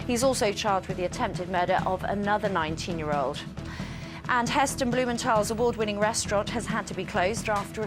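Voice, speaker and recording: A woman anchoring a television news bulletin with a crisp, measured delivery. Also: medium pitch.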